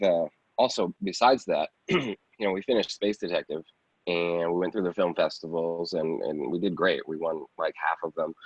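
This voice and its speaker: A man's voice: sits low, husky. A man giving a casual interview as an independent filmmaker, rambling conversationally and often repeating phrases. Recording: dull, roomy lo-fi sound.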